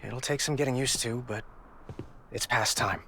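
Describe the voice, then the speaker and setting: A man's voice: mid-range voice, moderate pace, sharp tone, slight background noise. Earnest, plain-spoken working man. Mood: earnest resolve. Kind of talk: dramatic video game cutscene.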